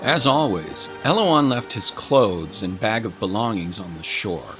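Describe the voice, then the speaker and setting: Guy narrating audio drama, lowish voice, measured n dramatic, music under it. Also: lively intonation.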